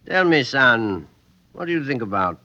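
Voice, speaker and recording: A muffled old radio drama recording carries a self-satisfied middle-aged French factory owner. His voice is forceful and swings widely in pitch as he exclaims grandly, a gullible man who is quick to dismiss others. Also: sing-song. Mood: impatient. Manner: theatrical, expansive, exclaiming. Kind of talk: 1950s radio drama acting.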